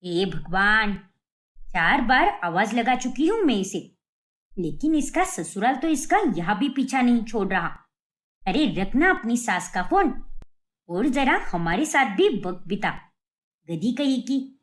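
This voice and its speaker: A woman's voice: on the high side, sing-song, a little slow. A woman voicing a nagging, sarcastic middle-aged mother-in-law with a taunting, grumbling manner in a cartoon story. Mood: exasperated.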